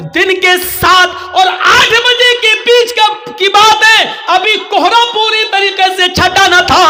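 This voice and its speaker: Very high-pitched, piercing male preacher. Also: sharp tone. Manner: declamatory, dramatic storytelling, acting out dialogue. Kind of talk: impassioned religious sermon told as a cautionary story.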